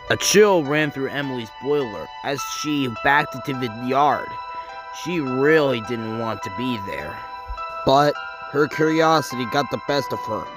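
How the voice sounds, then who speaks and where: A woman reading scripted storybook narration, with music playing behind her. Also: extremely low pitch.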